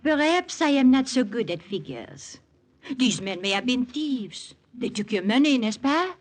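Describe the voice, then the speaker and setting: Coy French femme fatale. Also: female voice, on the high side, big pitch swings, unhurried. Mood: skeptical, probing. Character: alluring French woman, teasing, shrewd, coolly challenging. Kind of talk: 1940s radio drama acting.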